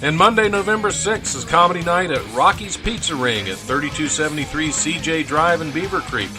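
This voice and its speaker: A man's voice: a little high, lively intonation, a little fast, over music. An American man hosting a radio show with casual, rambling, self-deprecating comedy talk. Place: studio.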